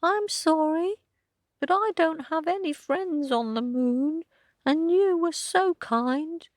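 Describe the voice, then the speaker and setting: A woman voicing a lonely, gentle male moon rabbit, soft and sorrowful. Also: very high voice, slow, dry recording, clean studio sound, pitched above the performer's own voice.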